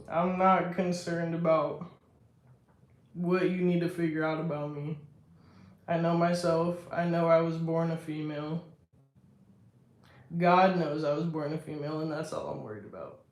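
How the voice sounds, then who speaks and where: A young woman with a deep, strong voice, chatting casually on a live stream in a reflective, self-assured way. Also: fairly even intonation, normal speed, long pauses, darkish tone. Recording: echoey room.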